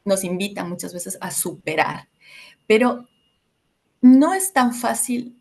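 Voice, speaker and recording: A woman from Ecuador in her thirties giving a reflective educational talk to a group, pausing often with fillers. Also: medium pitch, lively intonation, creaky, darkish tone. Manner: reflective, many filler hesitations. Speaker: workshop facilitator.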